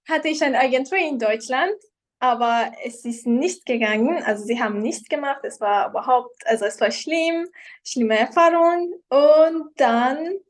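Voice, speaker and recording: A young woman guest tells her story in casual German. Her voice sits a little high, and she often hesitates and reaches for the right word. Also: measured pace. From Iran. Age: young adult. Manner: hesitant, searching for words in learned German. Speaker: guest, professional model. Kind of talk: casual interview.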